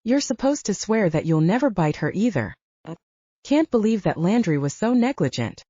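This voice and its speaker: Woman narrator doing a gruff bossy guy in an audiobook, scolding, mellow tone, bit muffled. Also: medium pitch, sing-song, moderate pace, no room sound. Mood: disapproving. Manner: blunt, scolding. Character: gruff, bossy, protective man who cooks.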